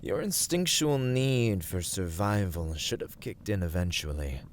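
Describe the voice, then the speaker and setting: A man playing a smug young villain warlord in an ASMR roleplay, teasing and condescending in formal, deliberate phrases. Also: low-pitched, lively intonation, a little hiss or hum. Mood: smug, chiding. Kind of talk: ASMR roleplay, scripted dramatic monologue.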